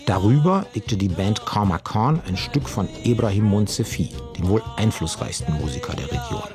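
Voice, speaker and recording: A man reading a scripted radio culture feature in a measured storytelling tone, close to the mic. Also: on the low side, expressive, music in the background. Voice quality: husky. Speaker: radio reporter.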